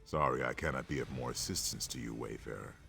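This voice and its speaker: A man's voice: a bass voice, fast talker, vocal fry, exaggerated voice. An actor playing a serene, pious male temple worshipper in a fantasy game, speaking in a solemn manner. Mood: serene, polite. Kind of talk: game dialogue acting. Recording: music behind the voice.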